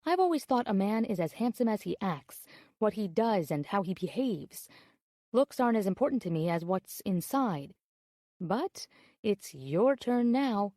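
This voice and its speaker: Actress doing a friendly coy woman reading her emails out, audiobook style, soft mellow tone, really dry sound. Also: mid-range voice, sing-song, unhurried, dry recording. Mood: coy, chatty. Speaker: audiobook narrator. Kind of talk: scripted audiobook narration.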